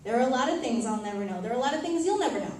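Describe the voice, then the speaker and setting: A woman reading a first-person monologue on stage in a theatrical, deliberate way, with emphatic delivery and pauses. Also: a little high, animated, darkish tone. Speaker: reader performing a monologue. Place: live reading event in a tavern. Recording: echoey tavern room, distant mic, noisy.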